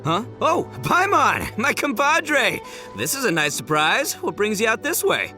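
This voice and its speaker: A man's voice: sits high, wildly swooping pitch, unhurried, bright, forceful tone, soft background music, full character voice. A man voicing a brash, boastful young beetle-fighting champion in a video game, slangy and boisterous, laughing often. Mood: cocky.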